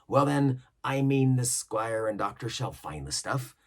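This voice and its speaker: A scheming older cook with a gravelly growl, as a man reads him aloud. He blusters and drops to rough whispers, the pitch swooping widely. The voice stays husky and low. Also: lively intonation, unhurried. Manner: growling, theatrical, shifting between bluster and whispers. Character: scheming one-legged pirate cook, older man, cunning.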